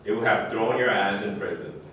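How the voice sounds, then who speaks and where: A man's voice: on the low side, steady tone, brisk, fairly bright tone, natural voice. A man in a staged play reading, playing a blunt, serious man who challenges the others. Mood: challenging. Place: echoey indoor room at a staged reading. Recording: distant, very echoey room with noise.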